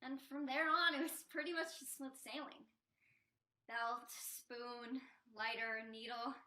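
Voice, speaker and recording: A woman's voice: a little high, little pitch movement, slow, sharp tone. A young woman on stage playing a chatty, defiant female drug addict who rambles casually and evasively in a student one-act play. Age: young adult. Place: indoor stage. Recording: echoey stage recording.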